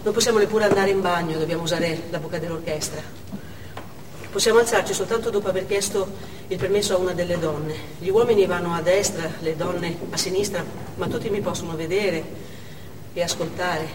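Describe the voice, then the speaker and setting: A woman's voice: on the low side, fairly quick, lightly acted. An actress on stage playing a frightened, indignant mother held hostage in a theatre, delivering a dramatic monologue. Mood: weary, stunned. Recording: echoey noisy theatre hall from far off.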